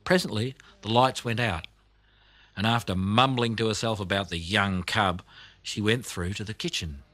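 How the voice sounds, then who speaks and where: A man reading a children's audiobook as narrator, telling the story expressively with deliberate emphasis. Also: on the low side, bright, forceful tone, dry recording.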